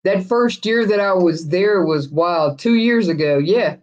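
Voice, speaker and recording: This is a friendly, rambling livestream host with a drawl who reads out viewer comments and answers them in easy, casual chat. The voice sits at a middling pitch and chuckles come often between restarted sentences. The audio is dull and lo-fi. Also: a little slow.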